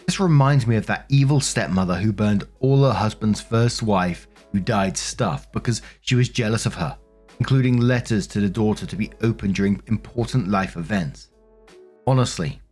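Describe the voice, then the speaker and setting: Low-pitched British man narrating online stories. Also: a little mellow. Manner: conversational, addresses listeners directly. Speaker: host reading and reacting to online stories. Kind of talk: narrating forum stories with casual commentary.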